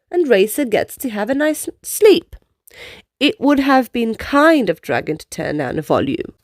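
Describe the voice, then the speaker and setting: A woman reading a children's picture book aloud in an expressive storytelling style. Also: high-pitched, no echo, clean studio sound.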